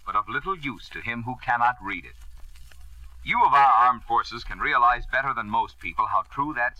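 A man reading a scripted address as a 1950s radio announcer, in a formal, measured manner. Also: medium pitch, sharp tone, no echo. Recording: dull, band-limited old radio recording.

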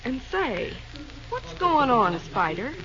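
High, lively gossipy waitress. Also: female voice, slow pace, heavily acted. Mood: curious, coy. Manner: folksy, chatty, knowing. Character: nosy, gossipy small-town lunch counter waitress. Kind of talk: 1930s-40s radio drama acting. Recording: muffled old radio with crowd murmur.

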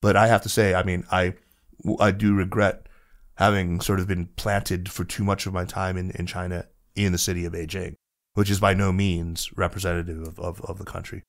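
A man's voice: sits low, little pitch movement, normal speed. A man speaking as a podcast guest in casual conversation, reflective, with frequent restarts and fillers.

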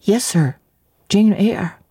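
A woman's voice: mid-range voice, slow, warm tone, slightly rough, no echo, studio quality, pitched above the performer's own voice. A woman voicing a miserable, defiant orphan girl of about nine in a dramatic book reading, blunt and pleading. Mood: meek.

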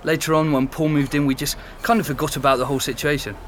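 An actor playing a sociable young former housemate, chatty and frank, in a naturalistic audio drama. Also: male voice, on the high side, flat delivery, quick pace, natural voice. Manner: chatty, conversational. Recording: faint pub background noise.

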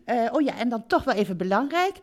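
Scatty gushing park manager lady, swoopy highish voice, comic radio play. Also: sing-song, fast. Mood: breezy, effusive. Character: scatty, effusive female holiday park boss. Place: theatre stage before live audience. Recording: music behind, live theatre stage.

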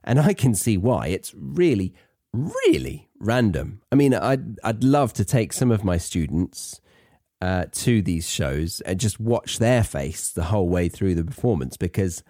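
A man's voice: low-pitched, sing-song, measured pace, warm tone, dry recording, studio quality. A British man hosting an educational English podcast, talking in a chatty, conversational and wry way. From Britain. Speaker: podcast host and English teacher.

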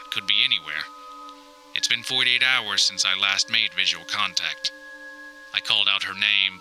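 A man's voice: on the low side, pushed and bright, low-quality recording. A man playing an anxious, wry grown son recording a wordy, mock-dramatic audio diary. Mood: wary, ominous. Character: anxious, wry grown son lost in hoarder mother's house. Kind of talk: scripted comic audio diary monologue.

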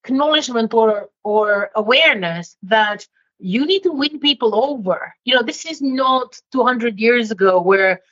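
A woman's voice: a little high, expressive, measured pace, fairly bright tone, dull audio. A woman born in Romania, a guest in an interview, speaking directly and candidly in casual chat.

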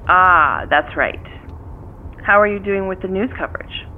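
A woman's voice: mid-range voice, a little slow. A curt, skeptical female police detective in an audio drama. Her voice comes thin and narrow through a phone line with some noise behind it. She speaks dryly and keeps things businesslike. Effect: telephone filter. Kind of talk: naturalistic audio drama acting.

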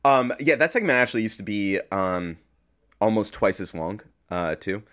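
Lowish-voiced man chatting as a guest. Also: lively intonation, moderate pace, a bit bright. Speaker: podcast guest, audio fiction creator. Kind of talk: casual interview chat.

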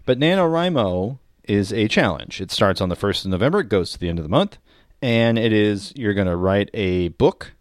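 Male podcast co-host, casual and comedic, expressive pitch movement, opinionated wry delivery, very dry recording. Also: moderate pace, dry recording.

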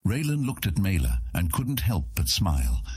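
A man's voice: low voice, huge pitch swings, moderate pace, a little mellow, breathy edge, no room sound, clean studio sound. A man narrating a scripted fantasy audiobook in a dramatic storytelling style.

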